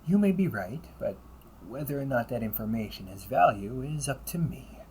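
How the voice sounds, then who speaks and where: A man playing a composed, shrewd demon hunter who questions in a measured, deliberate, probing way in an audio roleplay. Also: mid-range voice, animated, slight background noise, natural voice, poor audio. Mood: calm, probing. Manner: measured, deliberate, probing, with pauses and hushed phrase endings. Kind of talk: audio roleplay, scripted character monologue addressed to listener.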